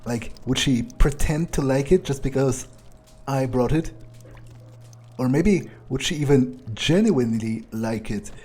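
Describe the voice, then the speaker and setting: A man's voice: medium pitch, a little slow, dark tone, slightly rough. A man playing a callous, darkly amused vampire, musing hesitantly to himself as in-character video game commentary.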